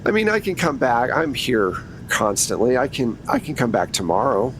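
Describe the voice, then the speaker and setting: A man's voice: very animated, normal speed, lightly acted. A man playing a tired, frank public defender in a tabletop game, casual and a little hesitant. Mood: pragmatic. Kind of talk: improvised tabletop roleplay. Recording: rumbly background noise.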